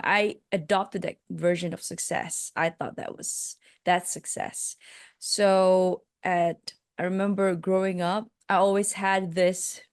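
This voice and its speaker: A woman with a Malaysian accent, speaking slowly and in a lowish register as she recounts her path. She talks in a reflective, hesitant way, restarting thoughts and leaning on fillers. The sound is dry and close, with no room around her. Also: gravelly, no room sound. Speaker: guest, life coach. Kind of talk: telling her life story in a podcast interview.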